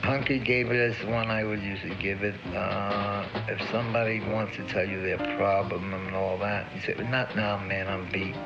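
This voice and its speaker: A man's voice: on the low side, little pitch movement, moderate pace, few pauses. A man casually recalling the past in an interview, blunt, rambling and profane. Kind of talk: casual documentary interview recollection. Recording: muffled interview audio, loud music behind.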